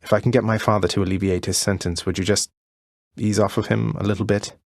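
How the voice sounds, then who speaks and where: Young British underworld prince, low voice, wry, politely understated, rebellious, game dialogue, close dry recording. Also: male voice, little pitch movement, fast talker, gravelly, no room sound. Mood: wry, sincere. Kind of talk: video game character dialogue.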